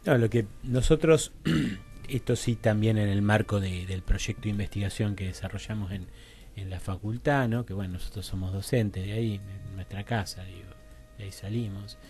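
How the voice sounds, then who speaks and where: A man with an Argentine accent giving an academic explanation as a radio guest, halting and didactic, often checking that he is followed. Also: fairly low pitch, darkish tone, faint music behind. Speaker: interview guest, university teacher and researcher.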